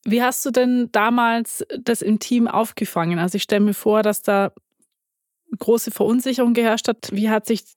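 A woman's voice: a little high, fairly even intonation, normal speed, no room sound, clean studio sound. A woman hosting an interview, asking questions attentively and giving frequent affirming murmurs. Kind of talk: podcast interview.

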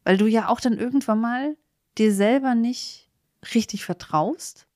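A woman's voice: a little high, unhurried, darkish tone, dry recording, clean studio sound. A woman co-hosting a podcast in casual, conversational discussion. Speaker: podcast co-host.

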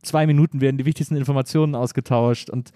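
A man's voice: mid-range voice, fairly even intonation, fast talker, warm tone, no room sound. Enthusiastic man, dry close sound. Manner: enthusiastic, agreeing, with hesitant fillers. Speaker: conversation partner. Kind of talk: casual chat.

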